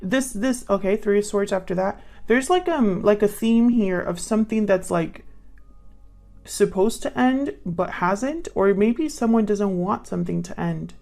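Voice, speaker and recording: A woman's voice: mid-range voice, animated, a little slow, mellow, soft background music. A woman giving a casual spoken tarot reading, often repeating her prompts to herself as she goes. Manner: murmurs card prompts to herself, repeating them. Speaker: tarot reader, channel host. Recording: clear background noise with card shuffling clicks.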